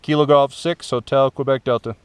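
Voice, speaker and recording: A man's voice: medium pitch, steady tone, brisk, noisy background. A man working as an amateur radio operator outdoors, giving call signs phonetically and repeating himself for clarity. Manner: repeats letters and numbers for clarity. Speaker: amateur radio operator making contacts from a summit. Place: outdoors on a rocky summit.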